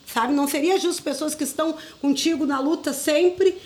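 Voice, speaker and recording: A woman with a high voice and a southern Brazilian accent from Rio Grande do Sul talks in a radio interview. She explains things earnestly in a conversational way, often checking in with short tag questions. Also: brisk. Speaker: interview guest, community group coordinator.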